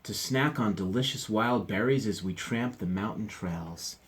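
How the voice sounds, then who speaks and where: A man's voice: on the low side, unhurried, lightly acted. A man doing a boastful father bear. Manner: hearty, overconfident.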